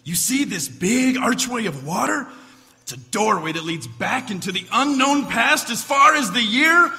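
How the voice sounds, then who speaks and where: An actor playing an eager, obsessed scientist, excitable and declamatory, in an old-time radio drama. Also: male voice, very high-pitched, unhurried, full character voice. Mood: proud, excited. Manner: excitable, declamatory, self-assured. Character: eager, obsessed scientist showing off his invention. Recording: reverberant stage hall, faint music.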